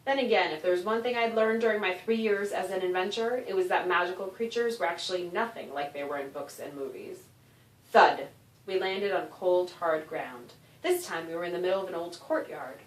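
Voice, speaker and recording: A woman author reading a children's story aloud in an expressive, animated narration with dramatic emphasis. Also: lowish voice, a little fast. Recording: roomy echo, distant microphone.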